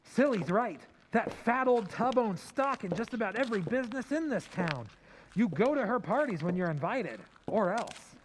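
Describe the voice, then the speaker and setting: A man's voice: high-pitched, expressive, moderate pace. An actor playing a cynical, slangy young man griping to his fiancée in an old-time radio drama, over some noise. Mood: sardonic griping. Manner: slangy, sardonic, exaggerating.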